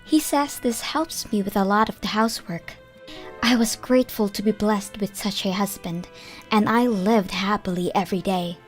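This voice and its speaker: A gentle, grateful young wife played by a woman tells her own story. Her pitch is average for a woman, in scripted manga-dub narration. Faint music plays behind her. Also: normal speed, close to an everyday voice. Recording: background music under the voice.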